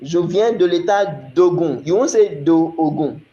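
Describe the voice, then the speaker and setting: A man's voice: fairly high pitch, normal speed, warm tone, roomy sound, lo-fi sound. A man from Nigeria with a Nigerian accent, teaching a recorded French lesson, explaining step by step and often checking "you understand?".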